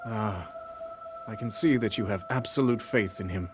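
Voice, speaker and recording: A man's voice: fairly low pitch, loud music behind the voice, close to an everyday voice. Man playing a stately, kindly game king. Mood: amused, kindly. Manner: stately, formal, measured phrasing. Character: dignified, kindly ancient king, calm and amused. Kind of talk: video game cutscene acting.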